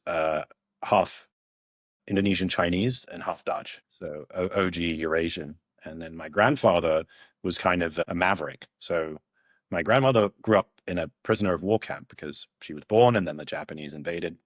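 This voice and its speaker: A man's voice: sits low, normal speed, no echo, clean studio sound. A young man from Hong Kong, a podcast guest, tells his life story in a rambling, reflective way with many restarts. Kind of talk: casual storytelling interview.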